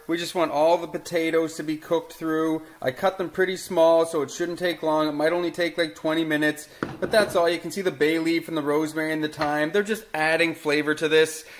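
High-voiced man cooking in an echoey kitchen. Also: fairly even intonation, brisk, fairly bright tone. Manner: chatty, explaining steps while talking to his toddler son. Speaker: home cooking show host. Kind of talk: casual cooking demonstration. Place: home kitchen, indoors. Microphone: camera mic at a distance. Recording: very echoey kitchen with faint noise.

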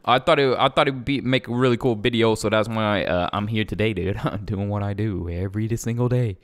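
A man's voice: fairly low pitch, a little fast. A young man giving casual gameplay commentary in a slangy, jokey, rambling way. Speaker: gaming YouTuber.

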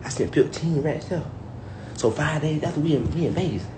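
A man in his twenties chatting excitedly on a phone livestream from a hotel room, with stammering repeats and bursts of shouting and laughter. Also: a little high, normal speed, background noise. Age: 20s. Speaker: livestream host. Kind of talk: casual bragging chat on a phone livestream. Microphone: phone held at arm's length.

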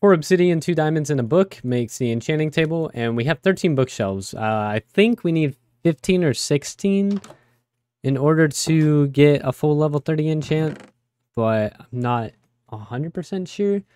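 A young man with a raspy voice from a cold, rambling casually with many fillers as he plays a game. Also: fairly high pitch, lively intonation, moderate pace, darkish tone, no room sound. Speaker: let's play host. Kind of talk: casual gameplay commentary.

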